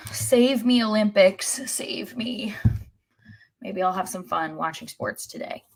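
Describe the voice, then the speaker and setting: A woman's voice: mid-range voice, slow pace, creaky. A woman co-hosting a casual sports podcast, talking like a wry, resigned fan. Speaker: podcast co-host.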